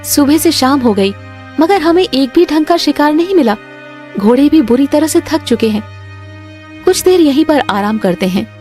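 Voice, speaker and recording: Woman playing an expressive young princess. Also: sits high, normal speed, soft background music, voice pushed up. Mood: weary, commanding. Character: young princess, dutiful daughter and keen huntress. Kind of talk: Hindi cartoon story acting.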